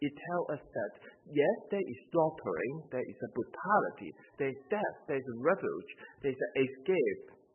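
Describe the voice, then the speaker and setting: A man in his forties with a Hong Kong accent, preaching a sermon as visiting ministry staff, in an earnest, measured way with many "uh" fillers. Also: mid-range voice, breathy edge. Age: 40s. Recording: tinny phone-like recording with faint noise.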